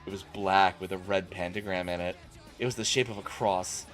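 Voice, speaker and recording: A man's voice: low voice, steady tone, moderate pace, a bit bright. A man doing a comic dramatic reading as a streamer, with exasperated, incredulous asides. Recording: music playing behind the voice. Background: music.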